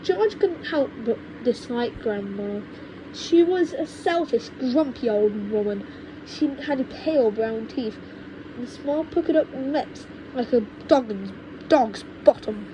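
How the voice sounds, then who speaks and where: Woman reading a kids book out loud, slow n expressive, stumbles and rereads bits, noisy home mic. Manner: halting, often rereads and corrects words. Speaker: audiobook reader. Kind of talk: children's storybook narration. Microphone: cheap home microphone. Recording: noisy low-quality home microphone.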